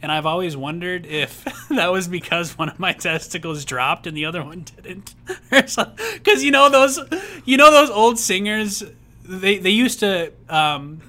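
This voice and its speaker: A young man co-hosting a casual advice podcast, earnest and rambling with restarts, laughing easily, who says his voice is on the high side. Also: expressive, unhurried, sharp tone. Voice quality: says he has a rather high voice. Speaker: podcast co-host.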